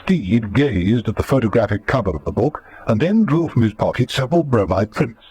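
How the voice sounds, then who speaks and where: Animated male radio drama narrator. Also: mid-range voice, fairly quick, breathy edge.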